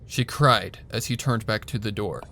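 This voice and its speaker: A man reading a story aloud as narrator, in a measured storytelling manner. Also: lowish voice, slight background noise. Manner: measured storytelling, voicing a flat doctor in quotes. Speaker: narrator and reader. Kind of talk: audiobook narration of fan fiction.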